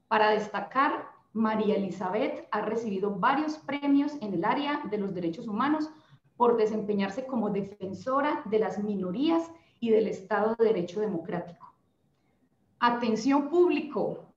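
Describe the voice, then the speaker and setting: Colombian woman moderating, echoey webcam audio. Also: fairly high pitch. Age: young adult. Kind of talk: formal panel introduction. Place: office room, indoors. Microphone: laptop webcam on video call. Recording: echoey room, dull lo-fi sound.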